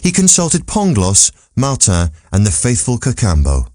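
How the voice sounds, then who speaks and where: A man's voice: medium pitch, huge pitch swings, measured pace, mellow, dry recording, clean studio sound. A man narrating a classic literary story from a script as an audiobook reader.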